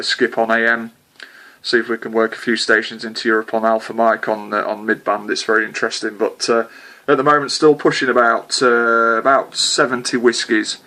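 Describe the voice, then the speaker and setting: Guy on his cb, kinda monotone, pausing and going uh a lot. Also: medium pitch. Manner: hesitant, full of "uh" fillers. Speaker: CB radio operator. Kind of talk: casual radio contact chat.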